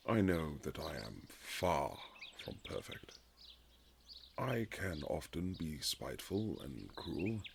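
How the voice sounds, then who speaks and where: A man playing a reserved, formal Regency husband who is awkwardly tender, speaking in halting period phrases in a romantic audio roleplay, with a gravelly voice. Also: very deep voice, a little hiss or hum, heavily acted.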